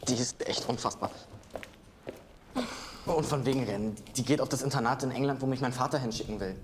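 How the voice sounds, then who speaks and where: A man plays a defiant teenage boy in a radio play. He talks bluntly and sulkily, digging his heels in. There is clear background noise. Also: medium pitch, a little fast, natural voice. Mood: indignant, defiant. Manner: sulky, blunt. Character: indignant, stubborn teenage boy, count's son.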